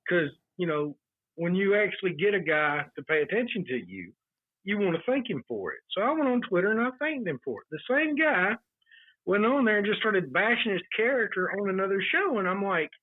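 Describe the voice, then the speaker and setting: A man with a husky, somewhat high voice tells stories as a podcast guest, peppering his talk with fillers. He sounds thin and tinny, as if over a phone line, with a dry sound. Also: big pitch swings, fairly quick, no echo. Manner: storytelling with many fillers. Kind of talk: casual podcast chat, telling anecdotes.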